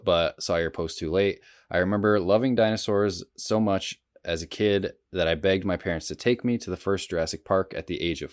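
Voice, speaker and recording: A man co-hosting a podcast in casual chat, with informal, laid-back phrasing. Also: sits low. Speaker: podcast co-host.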